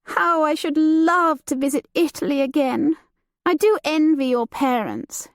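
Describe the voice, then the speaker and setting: A woman's voice: sits high, a little slow, no room sound, clean studio sound, voice pushed up. A woman voicing a flighty, romantic young noblewoman with a lilting, gossipy manner. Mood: chatty.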